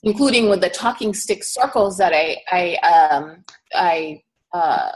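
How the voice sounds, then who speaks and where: A middle-aged woman from East Africa, a herbalist guest speaking in a relaxed, earnest interview and pausing often to think. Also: fairly low pitch. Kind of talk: casual conversational interview.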